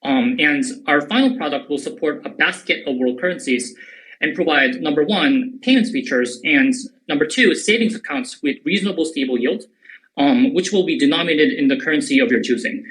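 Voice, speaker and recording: A man's voice: a little high, brisk, fairly bright tone, rough recording. A man in his twenties who grew up in Korea, speaking as a crypto founder in a live audio interview, often restarting his sentences. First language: English. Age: 20s. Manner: hesitant, repeats words at starts of sentences.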